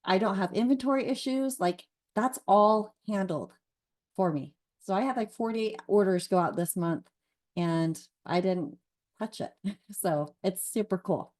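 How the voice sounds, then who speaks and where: A woman's voice: medium pitch, measured pace, a little mellow. A woman in her forties giving a chatty, gushing testimonial over a video call, laughing between thoughts. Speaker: partner and guest on a call. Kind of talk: casual testimonial. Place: car.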